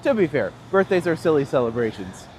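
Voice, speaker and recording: A man's voice: fairly high pitch, big pitch swings, brisk, a little mellow. A man in his thirties with a Northern Ontario accent, chatting and laughing as he answers viewers while live-streaming a walk outdoors. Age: 30s. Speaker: tour guide livestreaming. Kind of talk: casual live-stream chat. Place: outdoors on a city street. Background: faint street traffic.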